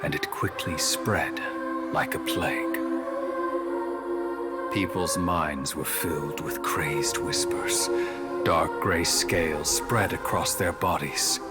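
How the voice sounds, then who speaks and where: An actor playing a solemn old temple priest at the end of his life, telling a legend gravely and reverently in a video game. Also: low-pitched. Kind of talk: video game cutscene monologue.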